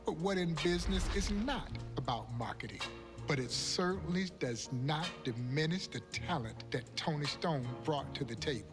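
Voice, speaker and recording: Higher-pitched man narrating over music. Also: lively intonation, normal speed. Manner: emphatic, rhetorical questions to the listener. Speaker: documentary narrator and historian. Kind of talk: storytelling narration for sports documentary segments. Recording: prominent music under the narration.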